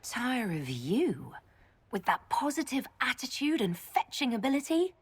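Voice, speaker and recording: Husky, wry heroine. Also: female voice, fairly high pitch, sing-song, a little slow, full character voice. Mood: teasing. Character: wry, warm-hearted woman hero and leader. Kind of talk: video game voice acting.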